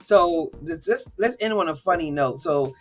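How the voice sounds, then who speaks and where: A woman's voice: lowish voice, animated, moderate pace, soft background music. Woman host, casual interviewer, chatty, encouraging, lots of affirmations. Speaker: podcast host. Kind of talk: conversational podcast interview.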